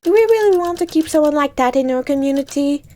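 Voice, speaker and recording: A woman's voice: sits high, animated, quick pace, mellow. A woman voicing a stern, merciless female community leader who speaks in a commanding, scornful way for a visual novel.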